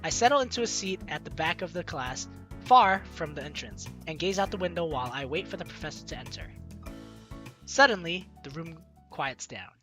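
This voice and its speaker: A woman's voice: deep voice, expressive, normal speed, fairly bright tone, faint music behind. A woman let's player reading a game's story aloud expressively and adding playful, casual commentary.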